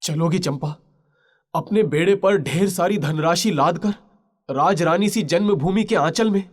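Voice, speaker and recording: Lovelorn pirate-turned-mariner played by a man: high male voice, proud yet pleading, halting, passionate audio-story delivery. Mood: impassioned pleading. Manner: impassioned, pleading, halting pauses. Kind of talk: Hindi literary audio story drama.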